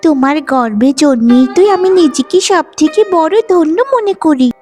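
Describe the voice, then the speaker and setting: A woman voicing a gentle, self-sacrificing young village girl in a cartoon, soothing and pleading. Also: high voice, lively intonation, normal speed, soft background music. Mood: consoling. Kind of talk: cartoon voice acting in a moral story.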